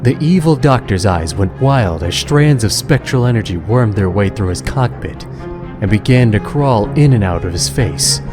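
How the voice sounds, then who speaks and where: A man's voice: fairly low pitch, lively intonation, normal speed, over music. A man giving scripted, dramatic narration of an adventure story as the narrator.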